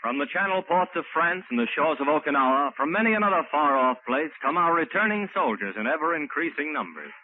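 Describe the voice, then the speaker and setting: A man's voice: on the high side, lively intonation, brisk, hardly any pauses, background noise. An American man announcing from a script in formal, ceremonious 1940s radio style. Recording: tinny 1940s radio broadcast, music behind. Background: music.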